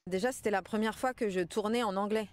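A woman's voice: mid-range voice, fast talker. Woman, thirties, mid-range voice, conversational interview delivery. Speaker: actress being interviewed. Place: outdoors in front of a large mansion. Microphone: small mic held out by interviewer.

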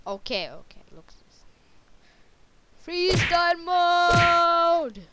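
A young woman from Norway giving casual commentary while playing a game, excitable and yelping when she crashes. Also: very high-pitched, takes it slowly. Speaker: gamer. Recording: loud background noise.

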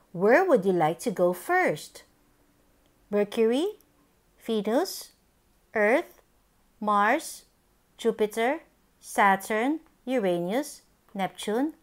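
Woman reading slowly and expressively to small children. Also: on the high side. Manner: simple, deliberate, expressive phrasing for young children. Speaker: children's book reader, channel host. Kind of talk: children's picture-book read-aloud.

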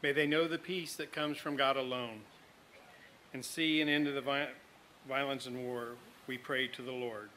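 A man's voice: mid-range voice, fairly even intonation, normal speed, pushed and bright, slight background noise, poor audio. A man in his sixties formally reading intercessions at a lectern in a large tent. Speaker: reader of intercessions. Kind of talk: formal read prayer. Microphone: gooseneck lectern microphone.

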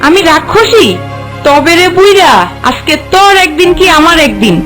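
Bossy young cartoon wife, played by a woman: extremely high voice, scolding, demanding, short-tempered, light music behind. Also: animated, moderate pace. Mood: angry, threatening. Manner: scolding, commanding. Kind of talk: cartoon voice acting.

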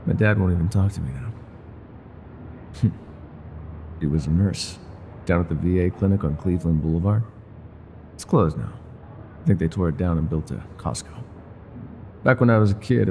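A man playing a world-weary, gruff but secretly tender older ex-mafia bartender in an intimate, confiding audio roleplay. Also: low voice, dark tone, slight background noise. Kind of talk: intimate ASMR roleplay monologue.

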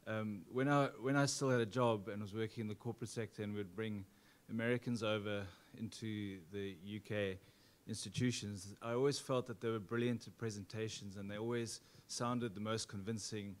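A man's voice: lowish voice, fairly even intonation, moderate pace, few pauses. A middle-aged man with a South African accent asking an audience question at a stand mic, hesitant and thoughtful. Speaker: audience member. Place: event hall.

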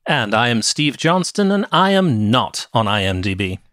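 A middle-aged man co-hosting a podcast, telling stories about movies in a casual, deliberate way. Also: medium pitch, very animated, a bit bright, no echo, studio quality. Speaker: podcast co-host. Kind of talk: casual movie review chat. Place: cluttered home room with shelves. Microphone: close podcast mic with headset.

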